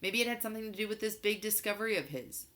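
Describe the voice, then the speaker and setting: A woman voicing a calm, reasoning father in a storybook, measured and reassuring. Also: mid-range voice, pitched above the performer's own voice, lightly acted. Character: calm reasoning father, middle-aged man.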